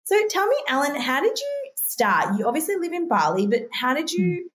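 A woman's voice: sits high, big pitch swings, moderate pace, a bit bright. A woman hosting a mental health podcast, introducing and questioning her guest with enthusiasm. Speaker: podcast host. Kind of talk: interview hosting.

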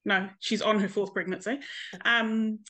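A woman's voice: mid-range voice, steady tone, unhurried, sharp tone. A woman in her late thirties with a New Zealand accent, openly telling her pregnancy story in an interview and laughing easily. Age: late 30s. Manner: open, frank, laughs easily. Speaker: guest, nurse and mother.

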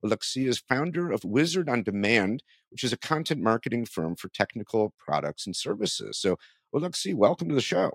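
A man's voice: medium pitch, big pitch swings, brisk, no room sound, studio quality. A man hosting an interview podcast in a conversational way, thinking aloud and rephrasing his questions as he goes.

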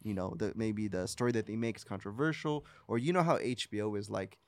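A man co-hosting a podcast, talking casually in halting, tentative phrases. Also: on the low side, dry recording. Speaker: podcast co-host. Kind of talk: casual chat.

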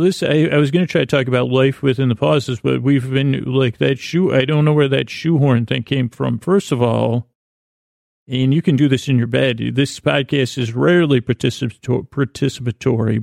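A man hosting a sleep podcast, rambling softly in a halting, self-correcting way with many pauses. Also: mid-range voice, steady tone, no room sound, studio quality. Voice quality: creaky, soft, halting with many restarts. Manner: meandering, self-correcting, drowsy pace. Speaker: podcast host.

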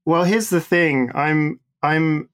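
A man's voice: a little high, takes it slowly, fairly bright tone. A young man in casual conversation, chatty and self-deprecating, with hesitant restarts as he recalls growing up abroad. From born in Singapore, raised in the Netherlands and abroad. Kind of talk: casual conversation between friends.

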